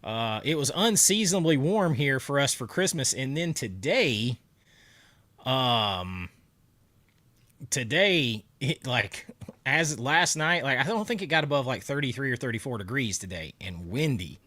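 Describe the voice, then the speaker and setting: A man's voice: medium pitch, animated, a little slow, sharp tone, no echo. A man hosting a casual livestream, congested and talking around a cough drop, chatting and laughing as he answers viewer comments. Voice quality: nasal from congestion. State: stuffy nose, sore throat. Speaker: livestream host. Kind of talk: casual live chat with viewers.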